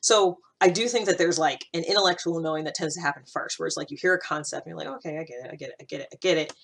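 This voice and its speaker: A woman with a slightly low voice hosts a personal-growth vlog. She talks informally and reflectively, searching for words as she thinks aloud and leaning on key points for emphasis. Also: rapid-fire, creaky, a bit bright. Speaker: host of a personal-growth series. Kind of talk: casual, reflective spoken vlog to listeners.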